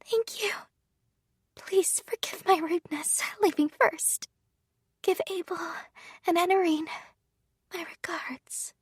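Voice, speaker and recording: A gentle young maid at the end of her life, voiced by a woman narrator, speaking in a faint, breathy, high whisper. The recording is dry and close. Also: takes it slowly, pauses often, no echo. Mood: fading. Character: dying young maidservant, gentle and grateful.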